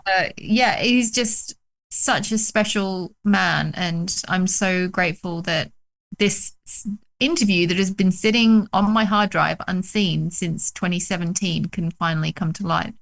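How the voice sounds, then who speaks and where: A woman from the UK, a documentary director, talking excitedly about her film on a video call, with many fillers. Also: on the low side, unhurried, creaky. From United Kingdom. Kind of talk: casual interview chat.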